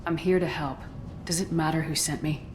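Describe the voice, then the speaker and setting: A woman's voice: deep voice, fairly even intonation, normal speed, background noise, lightly acted. An actress playing a composed female military commander in a video game, direct, controlled and serious. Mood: steady, reassuring. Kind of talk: video game dialogue acting.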